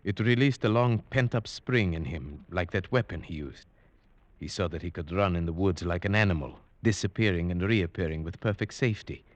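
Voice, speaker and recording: An actor playing an earnest, frightened storyteller in a 1940s radio drama, speaking in a measured, dramatic way. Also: male voice, deep voice, dry recording, muffled recording, natural voice. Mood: grave, suspenseful. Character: earnest male storyteller recounting a frightening hunt. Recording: old radio broadcast under music.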